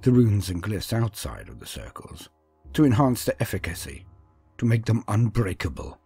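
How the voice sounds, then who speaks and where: A man voicing a brooding male sorcerer speaks in a very low, hushed voice over music. He is defiant and deliberate, telling his own dark fantasy story. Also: very animated, creaky, breathy edge, no echo, heavily acted. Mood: brooding resolve. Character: brooding, defiant village sorcerer, once an apprentice.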